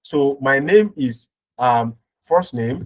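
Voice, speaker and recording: A man's voice: medium pitch, expressive, slow pace. Man teaching a step-by-step tutorial. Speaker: programming instructor.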